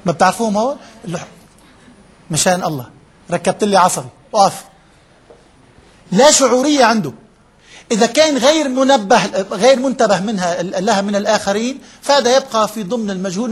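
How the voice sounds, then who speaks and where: Fast husky man lecturing. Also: high-pitched. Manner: explains step by step, checks in with listeners often; drops English terms into his speech. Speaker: workshop trainer. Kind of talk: interactive lecture to a live group.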